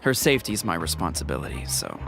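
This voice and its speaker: Measured, protective male chief, game music behind. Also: very deep voice, wildly swooping pitch, gravelly, exaggerated voice. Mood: guarded, firm. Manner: measured, guarded, authoritative. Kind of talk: video game character dialogue.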